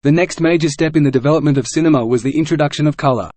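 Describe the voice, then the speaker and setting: Higher-voiced man reading aloud. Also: rapid-fire, a little mellow, no echo. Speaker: reader of practice passages. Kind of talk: scripted read-aloud of exam passages.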